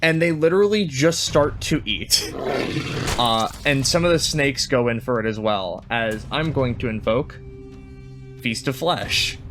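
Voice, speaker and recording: A man playing a tabletop game, describing a character's actions in halting, pieced-together phrases. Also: medium pitch, expressive, music in the background.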